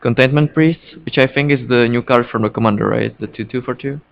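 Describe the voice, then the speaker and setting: A man with a Czech accent casually commentating live on a card game, haltingly and often repeating his words. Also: medium pitch, creaky. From Czech Republic. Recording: dull, lo-fi stream audio.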